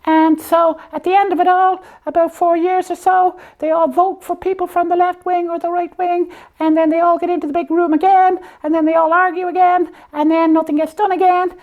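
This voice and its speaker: A woman voicing a slipper puppet who hosts a comic show, explaining things simply and haltingly in a matter-of-fact way. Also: very high voice, flat delivery, phone-quality sound.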